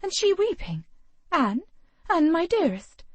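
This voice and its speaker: A woman's voice: sits high, sing-song, unhurried, slightly rough, no echo, voice pushed up, heavily acted. A woman voicing an alarmed, doting aristocratic mother in an audiobook. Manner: alarmed and fretful.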